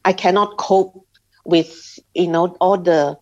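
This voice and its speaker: Slow, hesitant woman from Singapore. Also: lowish voice, fairly even intonation, darkish tone. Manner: slow, hesitant, many restarts and pauses. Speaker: interview guest. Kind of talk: personal testimony in an interview. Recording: slightly dull lo-fi sound.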